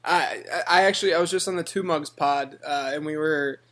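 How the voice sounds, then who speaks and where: A man co-hosting a casual sports podcast, his voice raspy and hoarse from yelling, talking upbeat with loud openings. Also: a little high, fairly quick. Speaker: podcast co-host.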